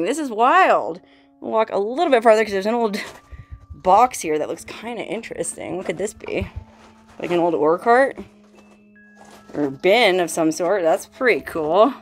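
A woman in her forties, filming herself on a phone while exploring an old mine and a desert canyon, talking casually with awed exclamations and laughs. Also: on the high side. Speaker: solo explorer vlogger. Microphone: handheld phone at arm's length.

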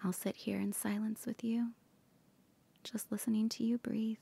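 A woman whispering softly in an ASMR comfort roleplay, gently reassuring a partner. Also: on the high side, flat delivery, moderate pace, vocal fry, husky.

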